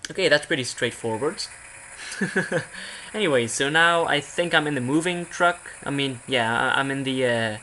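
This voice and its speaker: A man's voice: mid-range voice, moderate pace, pushed and bright, a little hiss or hum. A young man from Belgium giving casual let's play commentary, rambling and often interrupting himself as he goes. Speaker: video game streamer.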